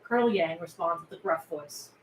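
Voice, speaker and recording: A woman's voice: lowish voice, fairly quick. A woman reading stage directions aloud as scripted narration at a table read. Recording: echoey room, faint background noise.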